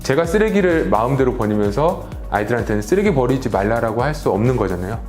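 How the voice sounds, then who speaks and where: A man's voice: medium pitch, expressive, fast talker, a little mellow. A man who works as an educator speaks earnestly and motivationally in a testimonial interview, recorded in a roomy space. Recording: roomy echo, faint music. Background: faint music.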